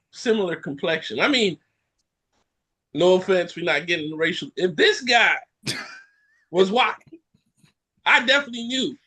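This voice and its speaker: A man co-hosting a podcast tells a story with lots of expression. His voice is bright and a little breathy, and his pitch swings widely as he acts out what other people said. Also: poor audio. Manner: animated storyteller who acts out other people's lines and laughs mid-sentence. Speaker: podcast co-host. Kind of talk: casual chat, storytelling.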